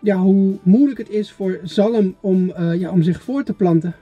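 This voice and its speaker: A man's voice: high-pitched, moderate pace, breathy edge, no echo, dull audio, faint music behind. A man talking casually to the camera outdoors on a road trip, chatty and hesitant with fillers. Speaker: travel vlogger. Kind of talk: casual travel vlog talk to camera. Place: outdoors by a river.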